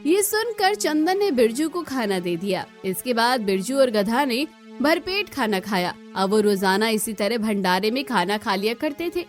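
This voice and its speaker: A woman's voice: fairly high pitch, big pitch swings, normal speed, soft background music. A woman reading scripted narration for a cartoon moral story.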